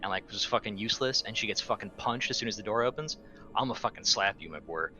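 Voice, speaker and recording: Low, bright-voiced male tabletop player. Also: lively intonation, quick pace. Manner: blunt, informal. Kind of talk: casual table talk. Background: faint background music.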